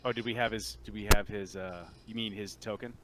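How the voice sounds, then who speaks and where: A man's voice: on the low side, steady tone, fairly quick, a crowd in the background. A man acting as game master, casually narrating and refereeing a tabletop roleplaying game.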